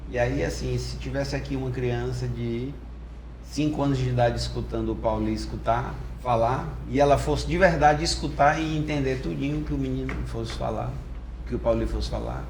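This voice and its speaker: A man's voice: mid-range voice, normal speed, gravelly, darkish tone, reverberant, slight background noise, poor audio. A Brazilian man hosting an interview podcast, asking long questions that he keeps rephrasing. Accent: Brazilian Portuguese. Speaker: podcast host.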